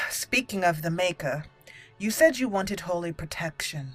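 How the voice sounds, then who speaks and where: A woman voicing an earnest elf mage leader in a fantasy game roleplay, formal and measured. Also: fairly low pitch, expressive, fairly bright tone, faint music behind. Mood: formal, concerned.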